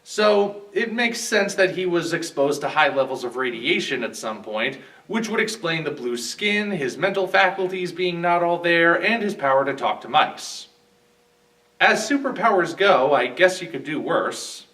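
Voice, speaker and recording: A man delivers scripted review commentary as a guest critic. His voice is fairly high and swings in very lively intonation, and it sounds set back from the microphone. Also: measured pace. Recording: distant, off-mic voice.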